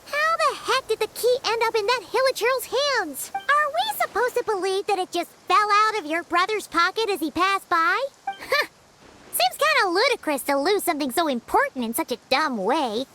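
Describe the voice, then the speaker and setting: A woman's voice: very high voice, animated, normal speed, noisy background. A woman voicing a small, chirpy fairy companion who talks about herself in the third person. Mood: musing. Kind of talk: video game voice acting. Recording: game audio with music behind.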